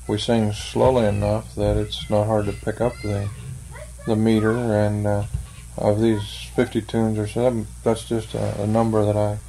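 An older man answering interview questions slowly and thoughtfully, with many pauses and fillers. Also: on the low side, monotone, gravelly, warm tone, rough recording. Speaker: interviewee, church member. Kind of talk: oral history interview.

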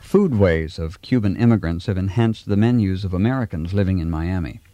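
A man narrates a documentary in a measured, scripted way. His voice sits low and mellow, recorded close and dry. Faint music plays softly behind him. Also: no echo. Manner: measured, even informative delivery.

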